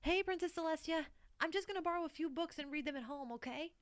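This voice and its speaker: A woman's voice: very high voice, fast talker, background noise. A woman playing an ambitious, pushy young student pony with a self-assured, petulant manner in an audio drama. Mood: jealous, sulky.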